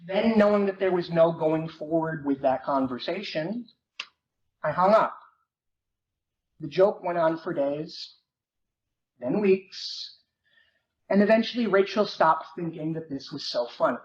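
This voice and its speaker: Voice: mid-range voice, lively intonation, unhurried, long pauses, close to an everyday voice. A performer reading as an awkward teenage boy who narrates his comic confession in a wry, self-deprecating way. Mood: wry, rueful. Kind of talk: dramatic reading of a first-person novel. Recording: muffled, noisy, echoey room recording.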